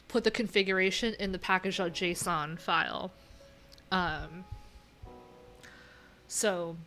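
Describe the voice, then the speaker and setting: Woman, bright clear-cut tone, unhurried halting delivery, casual livestream think-aloud, informal. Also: medium pitch. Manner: informal, halting, trailing off mid-thought. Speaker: livestream host, web developer.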